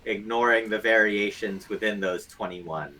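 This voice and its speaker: Man, low-pitched, bright forceful tone, analytical, detailed casual explanations, interview guest. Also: fairly even intonation, moderate pace, poor audio. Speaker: guest, game developer.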